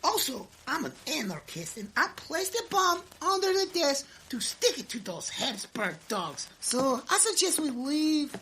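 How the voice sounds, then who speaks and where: A woman's voice: sits high, big pitch swings, unhurried, few pauses, sharp tone, exaggerated voice. A woman playing a meek, eager young male underling with an Eastern European accent in a comic audio drama. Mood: matter-of-fact. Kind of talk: comedic audio drama acting.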